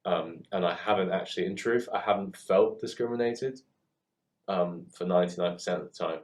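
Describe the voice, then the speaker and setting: A man's voice: deep voice, fairly quick. A man in his twenties with a southern English accent, chatting reflectively in a hesitant, thoughtful way and often rephrasing himself. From UK, born in Hong Kong. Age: 20s. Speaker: theatre worker and photoshoot co-producer. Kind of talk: reflective casual chat.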